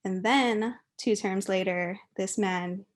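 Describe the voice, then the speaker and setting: A woman's voice: mid-range voice, takes it slowly. A young adult woman from Hawaii, giving an informal, chatty and giggly speech as a guest speaker. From Kauai, Hawaii.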